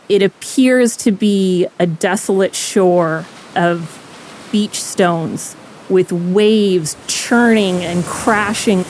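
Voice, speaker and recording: A woman playing a tabletop game, narrating her character's actions in a descriptive, measured and dramatic manner. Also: medium pitch, a little hiss or hum.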